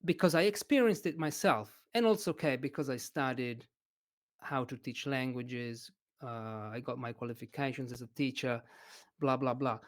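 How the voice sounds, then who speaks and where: A man from Italy with an Italian accent, an English teacher chatting as a podcast guest in a hesitant, expressive way. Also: mid-range voice, normal speed. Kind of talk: casual interview chat.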